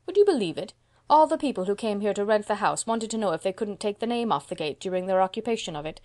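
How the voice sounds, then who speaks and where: A woman's voice: mid-range voice, animated, fast, a little mellow, natural voice. A woman voicing a proud, decisive seventy-year-old spinster who owns a house and speaks with emphasis. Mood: emphatic. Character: brisk, proud elderly spinster landlady, 70.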